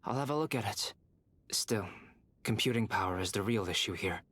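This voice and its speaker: An actor playing a calm, courteous young alchemist in a video game, speaking in a measured, analytical way. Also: male voice, fairly low pitch, breathy edge, no room sound. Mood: thoughtful.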